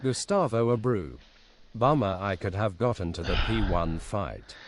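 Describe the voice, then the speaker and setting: A male voice that reads out chat messages and usernames in a flat, even way. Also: on the low side, a little slow, no echo.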